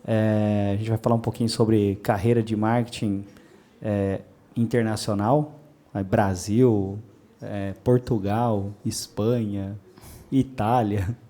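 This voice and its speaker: A man hosting a podcast in a relaxed, slangy way, with many hesitant fillers. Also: on the low side, slow. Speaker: podcast host. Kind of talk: casual interview chat.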